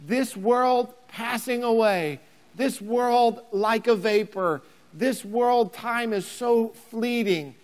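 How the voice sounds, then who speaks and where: Pastor, thirties, male; deliberate, rises to emphatic near-shouts, church hall reverb. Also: very high-pitched. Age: 30s. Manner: builds to emphatic near-shouting, repeats words. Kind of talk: sermon.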